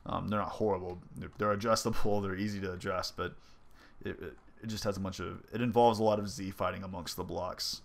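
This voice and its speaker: A man's voice: low-pitched, fairly even intonation, brisk, vocal fry. A young man with a stuffy, congested voice, casually thinking aloud as he shows off his game engine, with plenty of ums and likes. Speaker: indie game developer. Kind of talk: casual devlog commentary over gameplay.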